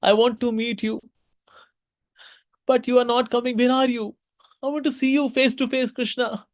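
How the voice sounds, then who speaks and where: A man's voice: measured pace, no echo. An old woman fruit seller, desperate and devoted, begs in a raised, tearful voice, as a man puts her on. The sound is dry and close. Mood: pleading. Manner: high, pleading, tearful.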